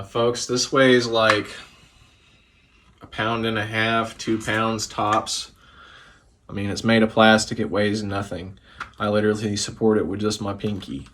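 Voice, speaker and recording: Hoarse, tipsy man rambling at home. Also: lowish voice, fairly bright tone, slight background noise. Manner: profane, loose and chatty, a bit tipsy. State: sick with a sore throat, slightly hoarse. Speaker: music vlogger. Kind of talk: casual rambling talk to camera. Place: living room at home.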